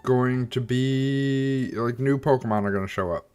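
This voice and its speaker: A man's voice: medium pitch, measured pace, a little mellow, smooth, soft background music. A man streaming a game and chatting about it, thoughtful and hesitant, with a slightly stuffy, nasal sound. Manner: thoughtful, hesitant, many ums and restarts. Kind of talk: casual gameplay commentary.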